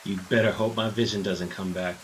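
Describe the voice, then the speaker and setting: A man playing a blustering, righteous captive detective in an audio drama, husky and forceful, with an earnest, indignant manner. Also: sits low, fairly even intonation, brisk, slight background noise, natural voice. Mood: suspicious. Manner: earnest, indignant, tough-talking.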